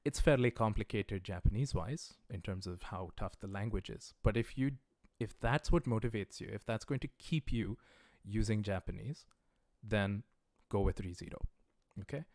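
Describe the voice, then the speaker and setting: Low-voiced male teacher, casual and dry. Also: normal speed, few pauses, dry recording. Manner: relaxed, conversational, many "uh" and "um" fillers; encouraging, recommends resources directly to viewers. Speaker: language teacher. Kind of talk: casual livestreamed lesson.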